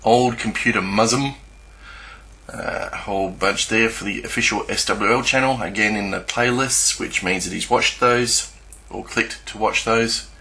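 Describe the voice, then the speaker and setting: Low gravelly man, halting screen commentary. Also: bright, forceful tone. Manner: hesitant, halting, searching for words. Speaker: YouTuber presenting a screen walkthrough. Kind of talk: unscripted screen-share commentary.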